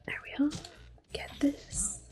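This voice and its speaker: A woman speaking in a hushed, unhurried, gentle whisper as she gives ASMR game commentary. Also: faint music behind. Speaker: host of an ASMR gameplay series. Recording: clicking controller noise. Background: controller clicks.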